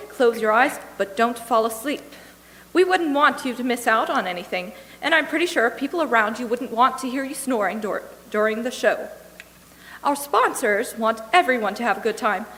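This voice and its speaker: A woman's voice: on the high side, bright, forceful tone. A woman presenting a scripted introduction to a live radio play from a stage. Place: stage in a hall, live audience. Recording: echoey hall reverb, hissy background noise.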